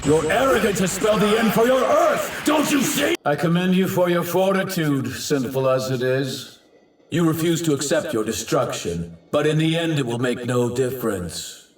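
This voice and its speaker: An actor playing an arrogant, self-righteous villain god in an anime dub, declaiming in a grandiose, archaic and theatrical manner. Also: male voice, medium pitch, creaky. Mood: smug, condescending. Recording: roomy, distant, faint effects noise.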